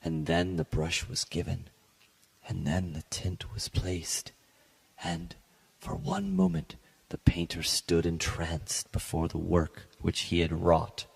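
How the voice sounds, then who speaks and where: A man giving a solemn, theatrical, measured dramatic reading of a gothic short story. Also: sits low, level tone, gravelly, husky. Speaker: literary podcast reader.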